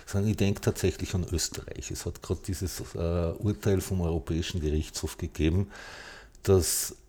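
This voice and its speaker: Deep-voiced Austrian man talking bluntly. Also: fairly even intonation, normal speed, mellow. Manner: blunt, indignant, colloquial. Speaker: podcast panelist. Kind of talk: opinionated casual political discussion.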